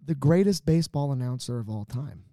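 A man's voice: medium pitch, expressive, a little fast, mellow, no room sound, studio quality. A man co-hosting a podcast in casual chat, with an opinionated, teasing manner. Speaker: podcast co-host.

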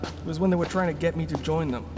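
A man's voice: a little high, quick pace, background noise, lightly acted. An actor playing a guarded, cautious male rebel in a sci-fi radio drama, serious, clipped and commanding. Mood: matter-of-fact. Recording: loud music under the dialogue. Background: loud music.

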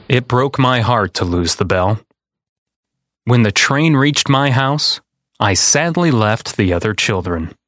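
A man reading a children's picture book aloud in a deliberate, dramatic storytelling style. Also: mid-range voice, a bit bright, no echo, studio quality. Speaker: storyteller and podcast host.

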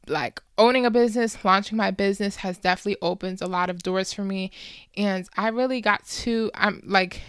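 A woman's voice: mid-range voice, fairly bright tone, clear, resonant tone, no echo, clean studio sound. A woman in her early twenties hosting a solo podcast, chatting informally and casually with slangy phrasing. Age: early 20s. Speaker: podcast host.

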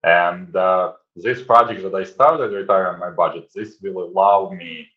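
Middle aged guy w ukrainian accent, really low voice, lots of uhs, kinda muffled sound. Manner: earnest, many "uh" pauses, non-native grammar. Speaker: guest, website founder. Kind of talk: casual interview answers.

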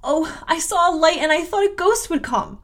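A woman's voice: extremely high pitch, animated, normal speed, a bit bright, pitched above the performer's own voice. A woman voicing a terrified, pleading little orphan girl in a classic novel reading. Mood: panicked. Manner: frantic, pleading. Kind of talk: classic novel audiobook character voice.

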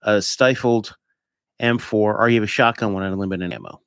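A man's voice: fairly low pitch, fairly even intonation, moderate pace, a bit bright, smooth, dry recording, studio quality. A man hosting a podcast in casual chat, putting questions to others in an informal, piecemeal way. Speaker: podcast host.

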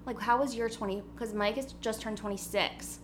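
A woman's voice: on the high side, fairly even intonation, normal speed. A woman in her twenties hosting a casual podcast from home, bubbly and giggly as she rambles along. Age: 20s. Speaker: podcast host. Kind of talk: casual chat. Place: at home before a party. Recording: noisy with electrical hum.

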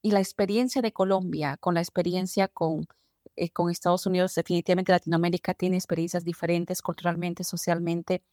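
Woman host, lowish voice, dry close mic, long emphatic questions. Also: fairly even intonation, fairly quick, dark tone, no echo. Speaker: podcast host. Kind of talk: interview questions.

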